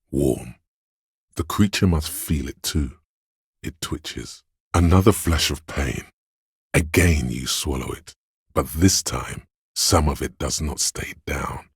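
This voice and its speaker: A man voicing a menacing, darkly poetic inner voice of pain in a video game, narrating in a brooding, deliberate and goading way. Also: a bass voice, gravelly, husky, no echo, clean studio sound, full character voice. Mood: tense, ominous. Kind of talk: dramatic second-person game narration.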